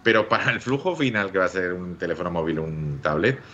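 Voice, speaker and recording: A man's voice: low-pitched, fairly quick, pushed and bright, highs cut off. A middle-aged man with an accent from Spain, talking emphatically in a casual tech discussion on a video call. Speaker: livestream panelist. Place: indoors at home, video call.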